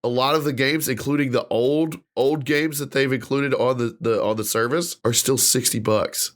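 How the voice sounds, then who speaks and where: A man's voice: medium pitch, steady tone, moderate pace. A man hosting a casual podcast, ranting with lots of fillers and restarts, sounding stuffed up and nasal. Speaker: podcast host.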